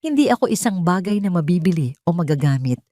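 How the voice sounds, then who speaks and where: A proud young wife who has been wronged, voiced by a woman narrator, speaks with firm, emotional defiance. Her voice is slightly low, in a dry audio drama recording. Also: animated, fast, mellow, no room sound, natural voice. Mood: angry, resolute.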